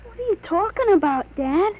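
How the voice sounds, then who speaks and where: Excitable young boy, very high voice. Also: moderate pace. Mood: puzzled, boyish. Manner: boyish, excitable, slangy. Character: eager, innocent thirteen-year-old boy. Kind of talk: 1950s radio drama acting. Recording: dull vintage radio drama recording.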